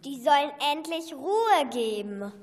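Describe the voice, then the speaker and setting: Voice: sits high, very animated, measured pace. A child playing a grumpy, bickering kobold in a children's radio play. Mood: grumbling. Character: grumpy, bickering little kobolds in a castle cellar. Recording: echoey reverberant room, clear background noise.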